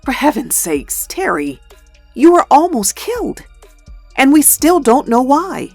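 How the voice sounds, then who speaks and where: Expressive, concerned wife played by a woman. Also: high voice, a little slow, creaky, no room sound, faint music behind, heavily acted. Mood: indignant. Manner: expressive, earnest. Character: concerned, indignant wife and mother. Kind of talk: comic book dub acting.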